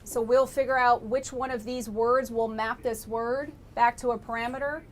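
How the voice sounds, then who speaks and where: A woman's voice: steady tone, moderate pace. A woman explaining technical material conversationally, like an engineer briefing visitors and taking questions. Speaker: lab engineer presenting flight recorder analysis. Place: laboratory tour, indoors. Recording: noisy room with low rumble.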